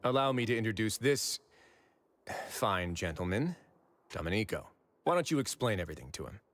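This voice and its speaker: A suave official in a video game. His fairly low voice is polished and commanding. He is courteous in a dry, quietly threatening way. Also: big pitch swings, normal speed. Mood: smooth, commanding. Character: suave, authoritative male official, dryly courteous, quietly menacing.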